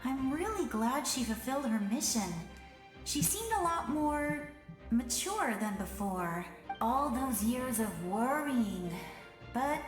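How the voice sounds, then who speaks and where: A woman playing a spirited, caring young big-sister healer in a video game, earnest and encouraging. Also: high-pitched. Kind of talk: animated video game voice acting. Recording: echoey reverberant hall, game music behind.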